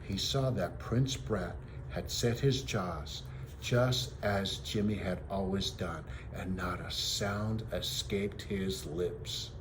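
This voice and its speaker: A man reading a children's story aloud as a teacher, with expressive narration on a noisy home recording. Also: sits low, slow pace.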